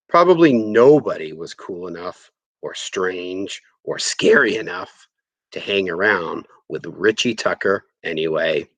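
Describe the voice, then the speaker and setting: A man reading a first-person story aloud in an expressive, conversational way. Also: low voice, dry recording. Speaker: audiobook reader.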